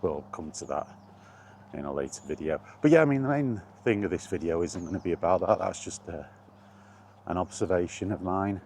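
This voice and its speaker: A man's voice: sits low, brisk, a little mellow, a little hiss or hum. A British man giving a rambling, casual vlog chat in a blunt, opinionated manner. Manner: opinionated, blunt, mildly sweary. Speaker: video blogger.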